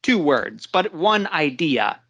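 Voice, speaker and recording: Slow, easygoing male teacher. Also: a little high, big pitch swings, a bit bright, highs cut off. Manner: unhurried, explains step by step with fillers. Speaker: guest teacher. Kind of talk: relaxed online English lesson. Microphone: video call.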